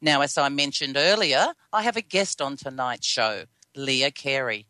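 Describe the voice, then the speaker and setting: A woman's voice: a bass voice, measured pace, pushed and bright, dry recording. A woman with an Australian accent hosting a radio show, chatty and upbeat, with a slightly scratchy voice. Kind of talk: live radio hosting and interviewing.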